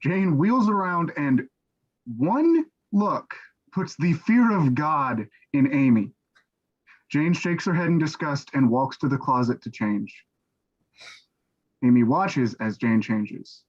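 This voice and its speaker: A man's voice: a little high, animated, slow pace. Man narrating stage directions, dull lo-fi sound. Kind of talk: scripted narration. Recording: dull, lo-fi microphone.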